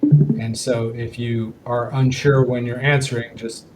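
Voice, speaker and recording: A man facilitating a casual online meeting, talking haltingly as he thinks aloud. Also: medium pitch, little pitch movement, darkish tone, low-quality recording. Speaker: working group meeting facilitator. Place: online group call. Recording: online call with clear background noise.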